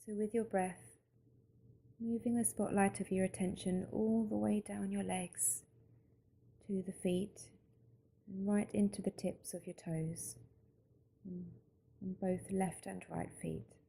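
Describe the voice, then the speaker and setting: Very mellow woman guiding a meditation. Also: mid-range voice, vocal fry, slightly rough. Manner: calm, unhurried, gentle instruction with long pauses. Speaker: meditation guide. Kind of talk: slow guided mindfulness meditation narration.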